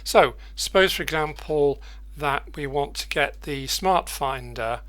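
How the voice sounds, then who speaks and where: A man presenting an unscripted software tutorial, thinking aloud haltingly and repeating words as he goes. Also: fairly high pitch. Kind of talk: unscripted screen-share tutorial.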